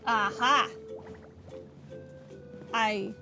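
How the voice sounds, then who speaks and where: A woman's voice: fairly high pitch, fairly even intonation, very slow, over music. A woman giving casual gameplay commentary, talking to herself and to the characters on screen. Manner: talks to herself and to game characters. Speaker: gaming streamer.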